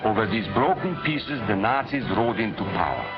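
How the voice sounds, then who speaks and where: An actor playing a grave émigré professor in a 1940s film, a middle-aged man, speaking earnestly and deliberately. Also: fairly low pitch, expressive, over loud music, lightly acted. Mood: solemn narration. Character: grave émigré male professor warning against fascism. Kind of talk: 1940s film acting, earnest storytelling narration. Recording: muffled, noisy 1940s film soundtrack.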